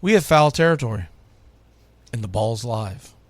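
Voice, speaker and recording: A man hosting baseball radio, delivering an opinionated countdown monologue with emphatic, punched phrases and dramatic pauses. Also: medium pitch, animated, no room sound. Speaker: baseball radio host and play-by-play announcer.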